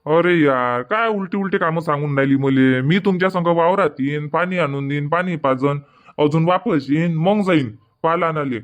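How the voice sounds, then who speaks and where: A man's voice: fairly high pitch, moderate pace, lightly acted. A man voicing a grumbling village farmer husband. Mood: arguing. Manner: grumbling, placating, arguing back. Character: stubborn middle-aged village farmer husband. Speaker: cartoon voice actor. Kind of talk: comic cartoon dubbing.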